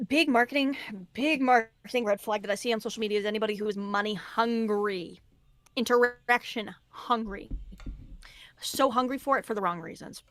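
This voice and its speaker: A woman's voice: on the high side, moderate pace, a bit bright. Earnest woman giving creator advice. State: losing her voice. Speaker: guest content creator. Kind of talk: casual podcast conversation.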